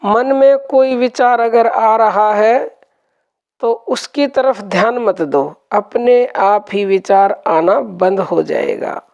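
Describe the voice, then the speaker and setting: A woman leading a guided meditation, giving calm, gentle instructions and softly repeating her phrases. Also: a little high, takes it slowly, dull audio.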